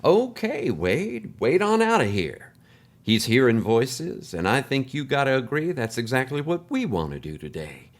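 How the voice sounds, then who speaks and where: A man's voice: medium pitch, huge pitch swings, a little slow. A man playing a chatty, folksy podcast host, speaking theatrically in scripted comedy. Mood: playful.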